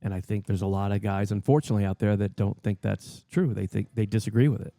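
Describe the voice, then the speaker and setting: A man's voice: fairly low pitch, fairly even intonation, fast, vocal fry, mellow, no room sound. A middle-aged man co-hosting a casual podcast, explaining his thoughts earnestly and circling back over his words. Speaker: podcast co-host. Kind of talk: casual chat.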